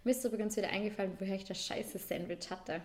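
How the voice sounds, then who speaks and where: Austrian woman co-hosting a podcast, in her twenties or thirties, chatty and loose, full of little fillers and restarts, at an ordinary middle pitch. Conversational and relaxed in delivery. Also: very fast. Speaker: podcast co-host. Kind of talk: casual chat.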